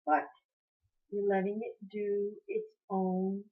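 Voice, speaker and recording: A woman teaching guided meditation in a soothing, encouraging manner, gently repeating her phrases. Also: medium pitch, level tone, takes it slowly. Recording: muffled, dull lo-fi sound.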